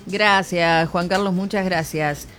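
A woman's voice: on the low side, fairly even intonation, slow, fairly bright tone, soft background music. An Argentine woman hosting a radio call-in show, greeting callers by name in friendly casual chat.

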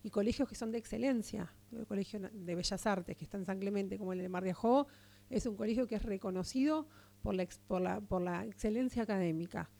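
An Argentine woman speaks as a radio interview guest, with a gravelly edge running through her voice. Her tone is mellow and dark, and she argues with earnest, steady conviction, restarting phrases as she goes. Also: medium pitch, fairly quick. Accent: Argentine Spanish. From Argentina, Partido de la Costa. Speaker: interviewed guest, local political figure.

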